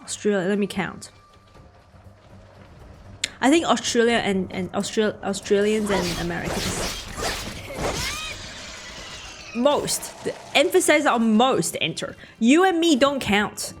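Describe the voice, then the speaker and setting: A woman with a Mandarin accent and Mandarin as her first language, chatting casually and haltingly with her chat while streaming a game. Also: medium pitch, animated. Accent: Mandarin-accented English. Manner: halting, chatty, answers chat with many restarts. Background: game music.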